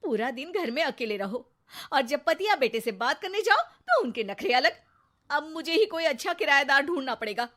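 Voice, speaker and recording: Shrill, sarcastic housewife, acted by a woman. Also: very animated, moderate pace, a bit bright. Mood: self-pitying. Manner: dramatic exclamations, biting rhetorical questions. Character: neglected, talkative, sarcastic nagging housewife and mother. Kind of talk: Hindi cartoon moral story acting.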